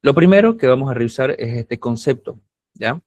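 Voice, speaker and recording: Male lecturer, camba accent from Santa Cruz, Bolivia. Mid-pitched, patient, explaining step by step, dry close sound. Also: expressive, normal speed, darkish tone, dry recording, lo-fi sound. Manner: patient, explanatory, often checks in with students. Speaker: university lecturer. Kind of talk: classroom lecture.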